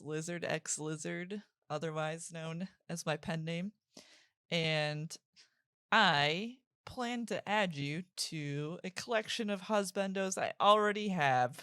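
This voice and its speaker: Female podcast guest; slow, playful, giggly, lower pitch. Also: a bit bright, smooth, no room sound, clean studio sound. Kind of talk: casual chat.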